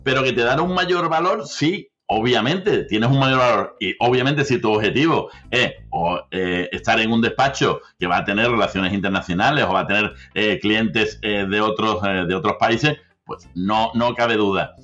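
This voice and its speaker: A man's voice: fairly low pitch, normal speed, few pauses, fairly bright tone. Guy hosting a legal podcast, keen, stops and restarts, kinda muffled audio. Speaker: podcast host, lawyer. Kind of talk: interviewing a guest.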